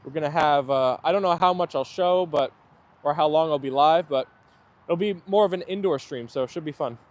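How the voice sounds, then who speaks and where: A man's voice: fairly high pitch, fairly quick. A man hosting a casual live stream outdoors, chatting in a friendly way and answering viewer comments.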